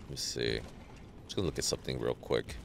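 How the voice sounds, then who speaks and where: A man streaming a game live, chatting casually with viewers and greeting them by name, sounding a little stuffy from allergies, on a boom mic. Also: fast talker, gravelly, noisy background. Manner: greets chat viewers, relaxed banter. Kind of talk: casual live gameplay chat.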